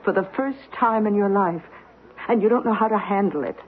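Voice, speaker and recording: An actress playing a bitter, proud, middle-aged wronged wife in an old-time radio drama, speaking in an articulate, cutting, deliberate way. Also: female voice, mid-range voice, lightly acted. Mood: cold, scornful. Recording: tinny, noisy old radio recording.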